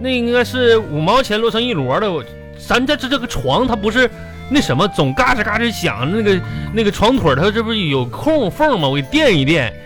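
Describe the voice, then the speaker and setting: A sheepish husband caught out in a comic radio play, speaking with a Northeastern Chinese accent. He swoops around animatedly, stammering excuses and protests over clearly audible music. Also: sits high, sing-song, a little fast, fairly bright tone. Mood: flustered, defensive. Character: flustered, sheepish husband hiding money, making excuses. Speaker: radio comedy host and actor. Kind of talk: live comedic radio drama dialogue.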